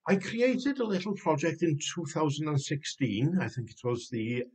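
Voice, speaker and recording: A man in his sixties from Wales, a native Welsh speaker with a Welsh accent, giving a measured, explanatory tutorial recorded at a desk webcam at home. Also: on the high side, sing-song, darkish tone, husky. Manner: measured, explanatory, some restarts. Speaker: Wikimedia manager presenting a tutorial. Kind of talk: screen-recorded tutorial narration. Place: home room, indoors.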